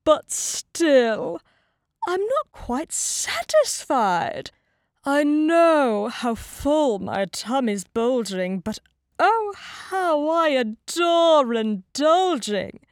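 A woman voicing a greedy, boastful male wolf from a storybook, speaking in a drawn-out, theatrical, menacing way. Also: high-pitched, sing-song, no room sound, clean studio sound, voice pushed up, exaggerated voice. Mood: greedy, indulgent.

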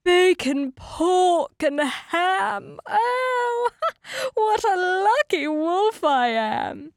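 Woman putting on a loud, drawling greedy storybook wolf. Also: high voice, sing-song, clean tone, dry recording, clean studio sound, heavily acted. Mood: gloating. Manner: drawn-out, menacing, theatrical. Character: greedy, boastful male wolf, gloating storybook villain.

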